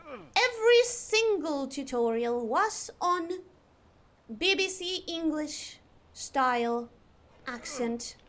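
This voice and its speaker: A young woman streaming live, chatting in an exaggerated put-on posh British accent with a theatrical, drawn-out delivery. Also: high voice, fairly bright tone. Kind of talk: casual live-stream chat.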